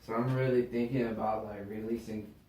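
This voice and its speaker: A man's voice: on the low side, little pitch movement, brisk, warm tone. Young man, interview host, easygoing slang, roomy room echo. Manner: easygoing, slangy, keeps saying "you know what I mean". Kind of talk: casual interview. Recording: roomy sound, mic at a distance.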